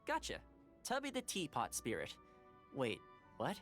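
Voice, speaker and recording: A woman's voice: low-pitched, wildly swooping pitch, unhurried, faint music behind, full character voice. A woman voicing a cheerful, easygoing young companion in a video game, chatty, friendly and considerate. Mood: curious, friendly.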